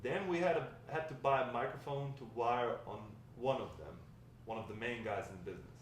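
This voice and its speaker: A man's voice: mid-range voice, fairly quick, natural voice. A man reading the part of a wry old grandfather telling stories of a drug-dealing past at a script table read, with some stumbles. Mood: matter-of-fact. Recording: distant, very echoey room, faint noise.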